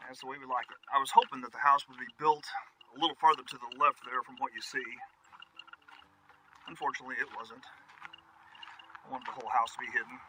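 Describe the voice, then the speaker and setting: A man's voice: medium pitch, noisy background. A man giving a casual, folksy, conversational tour of his property outdoors, as a vlog host. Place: outdoors on wooded rural land. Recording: music behind the voice.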